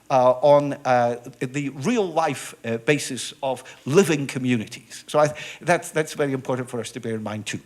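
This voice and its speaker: Animated professor, halting with fillers. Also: male voice, mid-range voice. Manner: hesitant, many filler sounds. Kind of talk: academic panel talk. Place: conference room.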